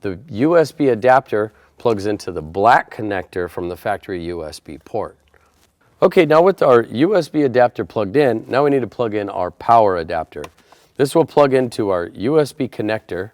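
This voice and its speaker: A man's voice: lowish voice, animated, moderate pace, no echo. A man presenting a how-to installation tutorial, explaining each step in a plain, methodical way. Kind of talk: step-by-step instructional narration.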